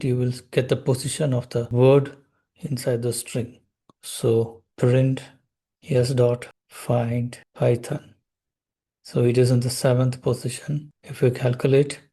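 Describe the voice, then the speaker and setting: Guy walking thru python code slow and steady, flat even tone. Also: medium pitch, breathy edge. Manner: slow, step-by-step explaining while typing code. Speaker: programming tutor. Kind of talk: screen-recorded coding tutorial.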